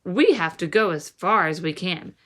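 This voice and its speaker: A woman's voice: on the low side, animated, moderate pace, a bit bright. Woman reading as a tense, stubborn young boy. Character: troubled, stubborn young boy, frayed nerves.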